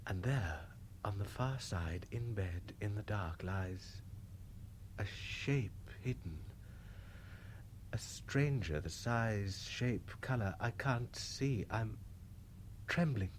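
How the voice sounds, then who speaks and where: A man's voice: fairly low pitch, lively intonation. An actor playing an eager, wonder-struck young spaceman who narrates his voyage in lyrical 1960s radio drama style, on a hissy vintage recording. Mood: hushed, fearful. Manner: lyrical, theatrical, deliberate phrasing.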